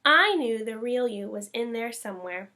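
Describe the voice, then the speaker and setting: A woman voicing a kind, cheery, plump old woman healer in a storybook, gentle and reassuring. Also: a little high, expressive, normal speed. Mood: warm, knowing.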